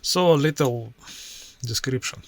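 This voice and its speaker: A man with a Russian accent, a native Russian speaker, walking through his software workflow in a screen-share tutorial in slow, halting English with many pauses. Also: mid-range voice, a little hiss or hum. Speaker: IT professional demoing his workflow.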